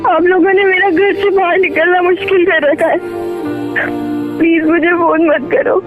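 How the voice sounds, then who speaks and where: A woman's voice: very high-pitched, fairly even intonation, normal speed, music in the background. A young woman on a phone call, pleading and upset. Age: young adult. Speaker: caller on a prank call.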